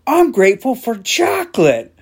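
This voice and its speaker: A man's voice: extremely high pitch, wildly swooping pitch, moderate pace, vocal fry, husky, pitched above the performer's own voice, exaggerated voice. A man voicing an eager, bubbly little schoolgirl who blurts things out in a storybook tale. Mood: excited.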